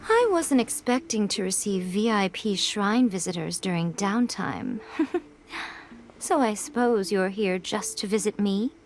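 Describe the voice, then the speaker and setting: A woman voicing a sly, self-assured shrine priestess in a video game, teasing and poised with elegant haughtiness. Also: medium pitch. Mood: teasing, coy. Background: game music.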